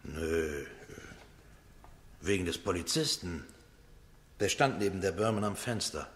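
A shady accused man in a radio play, with a lowish voice. He is evasive and naive, hesitant and colloquial, with some background noise. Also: animated, measured pace, fairly bright tone. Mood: sheepish, defensive. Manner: hesitant, colloquial, tag questions. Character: evasive, naive, disreputable male accused nephew. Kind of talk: radio drama dialogue.

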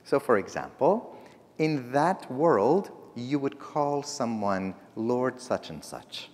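A man's voice: mid-range voice, big pitch swings, takes it slowly, a little mellow. A middle-aged man, not a native English speaker, preaching a humorous, story-driven sermon from a church pulpit. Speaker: guest preacher. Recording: long church reverb.

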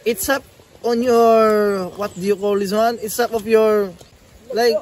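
A man's voice: high voice, steady tone, measured pace, a little mellow. A young man with a Filipino accent, teasing and joking playfully on a casual vlog outdoors. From Philippines. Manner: playful, teasing, repeats words. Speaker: vlogger. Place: outdoors at a waterfall and forest. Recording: noisy outdoor camera audio.

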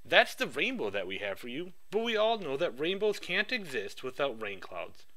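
A man giving a rehearsed project pitch with an upbeat sales-pitch delivery. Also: very animated, moderate pace, sharp tone.

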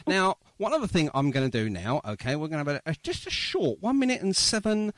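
A man's voice: a little high, very animated, fast, no room sound. A man hosting a casual, jokey radio interview. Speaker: radio podcast host.

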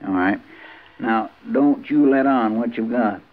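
An actor playing a folksy, excitable, garrulous frontier deputy with a rural American drawl, in a 1950s radio western. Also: male voice, mid-range voice, a little slow, natural voice. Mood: folksy, sly. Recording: dull, noisy, crackly 1950s radio recording.

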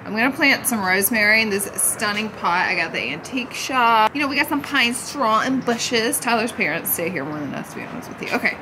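A woman's voice: fairly high pitch, animated, brisk, hardly any pauses, noisy background. A woman in her thirties, sick with a cold, chatting playfully and gushing in a casual house tour vlog on a handheld camera. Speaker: vlogger. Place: inside and on the porch of a house. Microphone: handheld camera at arm's length.

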